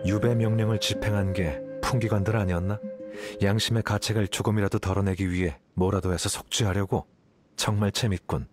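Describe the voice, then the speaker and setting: A man's voice: on the low side, steady tone, brisk, faint music behind, close to an everyday voice. A man voicing an aloof, sardonic young scholar in a video game, sounding detached. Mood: mocking.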